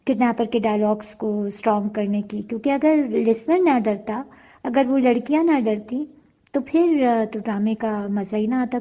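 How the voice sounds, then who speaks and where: A woman's voice: on the high side, dark tone, lo-fi sound. A woman hosting a casual interview chat, mixing English phrases into her speech. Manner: mixes Urdu with English phrases. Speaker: interview host and audio drama producer.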